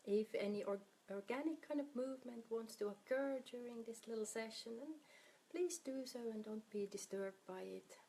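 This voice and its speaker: A woman leading a guided meditation in a soft, soothing, unhurried manner, her breathy voice often trailing off toward a whisper. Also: on the high side, slight background noise.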